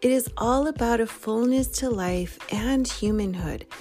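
A woman's voice: fairly high pitch, a little slow, dark tone, faint music behind. A young adult woman hosting a podcast, upbeat as she opens the show and interviews a guest. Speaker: podcast host.

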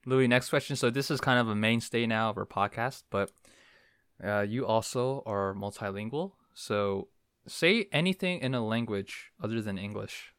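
A man's voice: lowish voice, moderate pace, a bit bright, clean tone, dry recording, clean studio sound. A man hosting a podcast in casual chat, teasing his guest and laughing easily. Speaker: podcast host.

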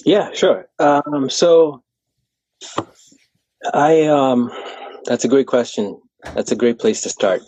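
A relaxed young man from Kenya talks about his running life on a video call. He rambles a little and restarts his sentences. His mid-pitched voice sits close and dry, with no room sound. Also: takes it slowly, pauses often, slightly rough, dry recording, poor audio. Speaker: running coach. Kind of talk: casual video-call interview.

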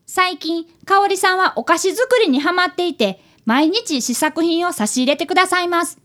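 A woman's voice: very high-pitched, moderate pace, fairly bright tone. A woman voicing an earnest, polite ten-year-old boy apprentice detective in a radio drama. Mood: earnest, bright. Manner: polite, earnest, narrates to the listener.

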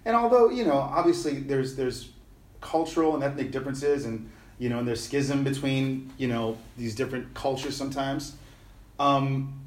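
A middle-aged man chats in a loose, rambling way, full of slang and fillers. His voice sits at an ordinary pitch for a man. The recording has a noticeable room echo. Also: a little mellow. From New York City. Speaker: podcast guest, musician. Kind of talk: casual conversational interview.

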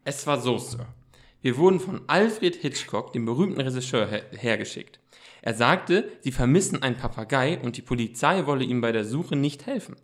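A man's voice: medium pitch, expressive, normal speed, natural voice. A man playing a self-assured teenage boy detective in an amateur audio drama, speaking in a formal, wordy, explanatory way.

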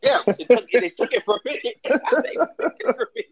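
A man from Brooklyn co-hosting a podcast in casual chat, animated, exclaiming and laughing often. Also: very high voice, moderate pace, vocal fry. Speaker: podcast co-host. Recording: dull dry lo-fi sound.

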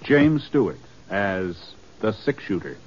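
A man's voice: on the low side, big pitch swings, takes it slowly. An American man announcing a radio drama in a scripted vintage broadcast style. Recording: dull vintage radio recording. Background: loud music.

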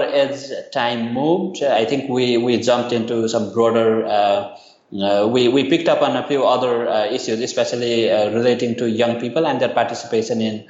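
A man's voice: mid-range voice, reverberant, dull audio. A man from Nepal, a university lecturer, answering interview questions hesitantly with many fillers.

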